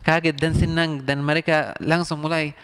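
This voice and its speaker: A young man from Palestine with a Palestinian Arabic accent, answering interview questions in careful, halting second-language phrasing. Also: on the high side, flat delivery, a bit bright, clean tone. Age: young adult. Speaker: interview guest, medical student.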